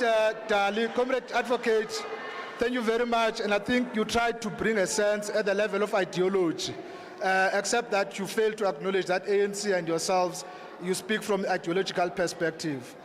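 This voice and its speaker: A South African man making a political speech from the floor of a public debate, haltingly, repeating words and addressing people as comrades. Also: sits high. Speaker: audience member, treasurer of a local political branch. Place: large hall at a town hall meeting. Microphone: handheld microphone held for the speaker. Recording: noisy, reverberant hall PA.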